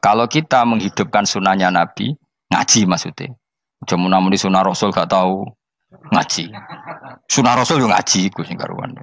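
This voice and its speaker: A man's voice: mid-range voice, fairly bright tone, dry recording. A man with a Javanese accent giving an informal religious lecture in a conversational, teasing way, often repeating himself to make a point. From Indonesia. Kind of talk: informal sermon mixing explanation with storytelling.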